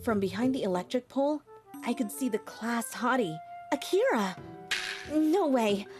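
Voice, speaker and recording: A woman voicing a shy, self-deprecating teenage high school girl, narrating her own cartoon story with dramatic self-pity. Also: animated, a little slow, music in the background. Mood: anxious, self-pitying. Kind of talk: anime-style story narration.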